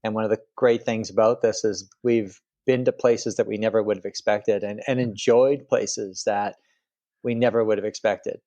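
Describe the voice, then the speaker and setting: Casting: middle-aged Canadian-raised man, lowish voice, easygoing and meandering, video call. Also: steady tone, normal speed, mellow, highs cut off. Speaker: guest author. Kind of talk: interview conversation.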